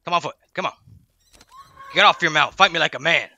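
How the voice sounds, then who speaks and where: Fast-talking man trash-talking mid-game. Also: a little high, pushed and bright. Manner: fast, jokey trash talk. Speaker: co-player. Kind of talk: casual gaming chat.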